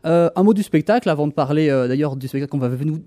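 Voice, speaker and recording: Quick, mellow-voiced male interviewer, raised pitch, hesitant questions. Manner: hesitant, many fillers.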